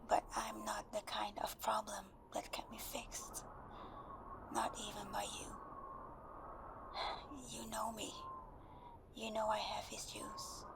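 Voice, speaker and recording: A woman playing a timid, anxious best friend in an intimate ASMR roleplay, speaking in a hesitant, breathy half-whisper as if leaving a voice message. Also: medium pitch, monotone, takes it slowly, pauses often, very noisy. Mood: apologetic, tender, anxious.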